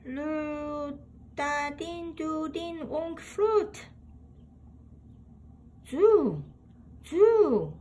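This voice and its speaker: A woman reading a children's picture book aloud in a deliberate way, acting out the exclamations. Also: sits high, expressive, mellow. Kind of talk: children's read-aloud storytelling. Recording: home recording with background noise.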